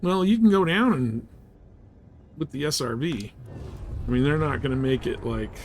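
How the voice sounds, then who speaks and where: A man's voice: mid-range voice, expressive, moderate pace, a little hiss or hum. A man livestreaming a game, rambling casually and slangily as he plays and explains things. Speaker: game streamer. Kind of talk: casual live gameplay chat and commentary.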